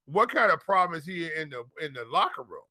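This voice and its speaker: A man's voice: on the high side, very animated, sharp tone, dry recording. An American man chatting casually as a fantasy football analyst, often repeating words as he works through his thoughts. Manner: halting, repeats words and phrases. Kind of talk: casual sports chat.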